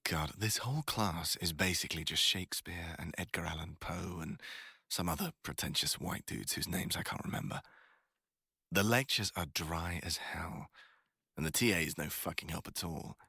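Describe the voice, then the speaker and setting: A man acts out a young college crush with a very low, bright voice that is a little rough at the edges. He talks in a casual, slangy, sweary way, teasing the listener and griping about poetry like a bored student in a boyfriend roleplay. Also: normal speed, no room sound, studio quality, exaggerated voice. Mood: grumbling, bored. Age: young adult.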